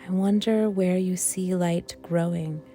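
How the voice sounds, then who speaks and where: A woman leading a guided meditation for worship, speaking in a gentle, reflective manner with unhurried, pausing phrases. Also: on the low side, fairly even intonation, soft background music. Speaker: worship leader guiding a meditation.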